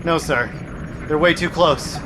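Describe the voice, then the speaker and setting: A man's voice: a little high, measured pace, natural voice. A man playing a deferential submarine sonar operator in an audio drama, reporting in folksy technical talk. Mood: puzzled, focused. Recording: noisy vessel ambience behind voice.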